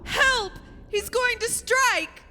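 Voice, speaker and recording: An actress playing a terrified captive daughter crying for rescue in a radio horror drama. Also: female voice, very high voice, drawn-out words, sharp tone, heavily acted. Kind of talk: old-time radio horror drama acting. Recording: hissy hall with long reverb.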